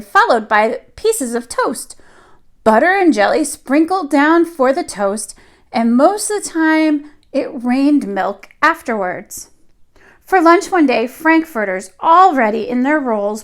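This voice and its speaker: A woman reading a picture book aloud like a teacher at storytime, expressive and deliberate for young children. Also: high voice.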